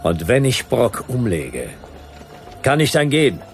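An actor playing a hard-boiled, wary detective hero in a radio drama, defiant, speaking in terse, clipped phrases. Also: male voice, medium pitch, big pitch swings, unhurried, faint music behind. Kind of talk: radio drama acting with noir narration.

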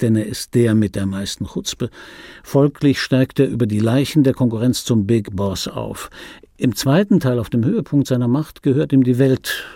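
An actor playing a bitter middle-aged dubbing actor, telling his life in a wry, gravelly, husky voice in for a radio play. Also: mid-range voice, dark tone, dry recording, studio quality. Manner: wry, conversational, self-interrupting. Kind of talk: radio play monologue, intimate storytelling.

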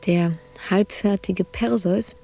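Tormented sculptress in an asylum, radio play: dark, creaky voice, intimate, breathy, haunted monologue. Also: female voice, mid-range voice, steady tone, takes it slowly, soft background music. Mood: hushed, haunted. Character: anguished woman sculptor confined in an asylum, haunted, brooding.

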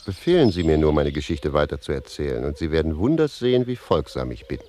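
A man's voice: extremely low pitch, sing-song, fast, music in the background. A man playing a talkative, cheeky servant in a radio play, philosophising with sly mock deference. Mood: sly, evasive.

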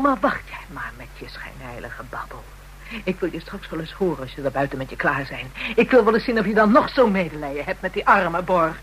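A woman's voice: mid-range voice, fairly bright tone, breathy edge, poor audio. A woman playing a bitter, hard-bitten young call girl in a radio drama, coarse and defiant. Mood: scornful, angry.

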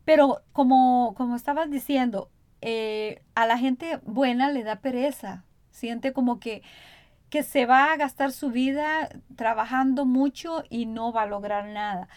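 Higher-voiced Costa Rican woman interviewer. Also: a little slow, darkish tone, no echo. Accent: Costa Rican Spanish. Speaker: radio interviewer, host. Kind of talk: conversational interview.

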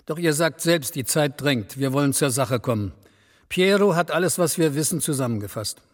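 In a long, reverberant hall, an impatient city magistrate speaks. His voice is fairly high for a man. He is formal and authoritative, a radio drama official. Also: animated, moderate pace. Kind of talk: German radio crime play acting.

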